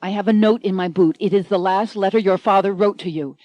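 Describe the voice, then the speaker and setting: A woman's voice: medium pitch, steady tone, a little fast, dry recording, highs cut off, close to an everyday voice. A woman voicing an earnest, devoted young male hero in a spoken story.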